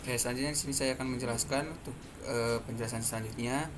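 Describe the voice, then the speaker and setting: Seorang pria muda berlogat Indonesia menjelaskan demonstrasi perangkat lunak sebagai mahasiswa presenter, bicaranya tersendat-sendat. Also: male voice, mid-range voice, fairly even intonation, fast. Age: young adult. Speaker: student presenter. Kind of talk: explanatory software demonstration. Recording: clearly noisy background.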